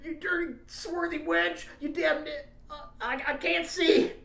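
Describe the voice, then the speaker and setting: A narrator playing a young, nasty male slave-owning proprietor in a story reading, with a shrill, taunting, whining manner. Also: fairly even intonation, a little slow, vocal fry, fairly bright tone, far from the mic, exaggerated voice. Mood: pained, furious. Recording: echoey, roomy recording.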